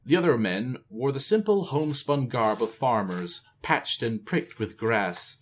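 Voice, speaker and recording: A man reading a novel aloud in a casual, expressive way. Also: medium pitch, unhurried, a little hiss or hum. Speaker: storyteller.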